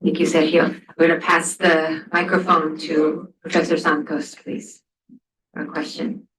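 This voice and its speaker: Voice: mid-range voice, flat delivery, unhurried, background noise. A panel moderator inviting and passing along audience questions in a spontaneous, orderly way. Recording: echoey event room.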